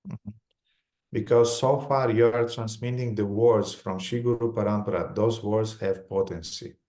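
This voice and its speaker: A man with a Romanian accent giving a religious lecture in short phrases, pausing for an interpreter. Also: fairly low pitch, fairly even intonation, mellow.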